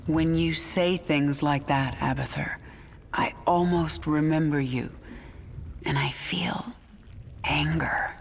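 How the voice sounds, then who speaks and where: A woman's voice: low-pitched, steady tone, takes it slowly, creaky, a little hiss or hum, heavily acted. Stern, commanding alien swarm queen. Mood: menacing, angry. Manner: imperious, curt, menacing. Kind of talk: video game character acting.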